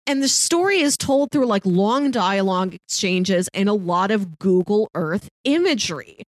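Woman podcaster chatting casually, earnest and excited, piles up emphatic phrases, very dry recording, mid pitch. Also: moderate pace, dry recording. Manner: enthusiastic, earnest, emphatic listing. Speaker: podcast co-host reviewing shows. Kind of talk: casual conversational recommendation.

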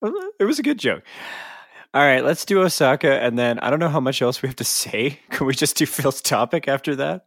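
A man's voice: medium pitch, brisk, few pauses, fairly bright tone, no echo, clean studio sound. A man co-hosting a podcast in casual sports discussion, talking in a conversational, argumentative way. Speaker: podcast co-host.

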